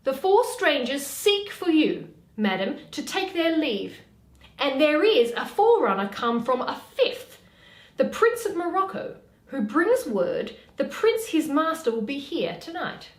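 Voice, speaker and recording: A woman's voice: high-pitched, sing-song, a little slow, pitched above the performer's own voice. A woman playing a dutiful servant messenger in a Shakespearean play, announcing formally and theatrically. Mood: formal, brisk. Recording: roomy, echoey home recording.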